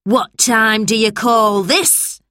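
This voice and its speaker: A woman's voice: a little high, animated, unhurried, fairly bright tone, dry recording, clean studio sound, pitched above the performer's own voice. A woman voicing a strict, menacing schoolmistress in a children's story, stern and commanding. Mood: angry, stern.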